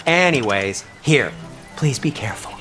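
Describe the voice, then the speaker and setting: An actor playing a fussy, chatty, mock-apologetic gift-giver in a video game cutscene. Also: male voice, medium pitch, animated, normal speed, pushed and bright, faint music behind. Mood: fussy, cautioning.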